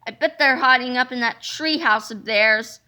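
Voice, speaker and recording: A woman voicing a grumpy, cowardly male school principal in a children's storybook, excitable and exclamatory. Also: on the high side, normal speed. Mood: matter-of-fact. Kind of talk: animated children's storybook read-aloud.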